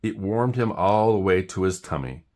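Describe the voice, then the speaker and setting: A man's voice: low voice, expressive, normal speed, darkish tone. A man narrating a scripted children's bedtime story as a storybook reader.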